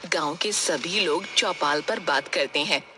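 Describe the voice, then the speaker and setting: A woman's voice: low voice, measured pace, noisy background. A woman giving scripted narration of a children's story as its storyteller.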